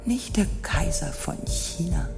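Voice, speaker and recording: A woman narrating an experimental radio drama in slow, deliberate fragments with long pauses, with music behind her. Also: lowish voice, expressive, creaky. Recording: music playing loudly behind the voice.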